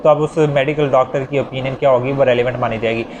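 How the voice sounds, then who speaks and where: A man's voice: mid-range voice, fairly even intonation, fast, darkish tone, lo-fi sound, a little hiss or hum. A man with a Pakistani Urdu accent giving a conversational law lecture, explaining points through worked examples.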